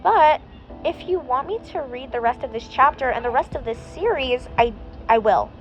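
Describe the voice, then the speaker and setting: A woman's voice: high-pitched, expressive, moderate pace. A young woman casually reading fanfiction aloud, who sounds tired and rambles between passages. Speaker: fanfiction reader. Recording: dull lo-fi with faint music.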